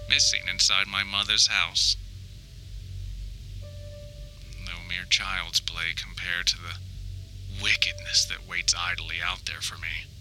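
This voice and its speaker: A man's voice: fairly low pitch, sharp tone, heavily acted, poor audio. A man playing a melodramatic grown son trapped in a house, reading his survival audio diary in grandiose, theatrical, formal phrasing. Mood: despairing. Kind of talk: scripted audio diary monologue, mock-survivalist narration.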